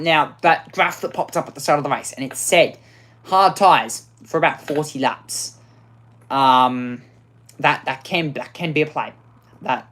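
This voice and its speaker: A woman with a low voice gives live commentary on a racing game. She gets excitable and often restarts and repeats phrases. Her voice sounds worn out.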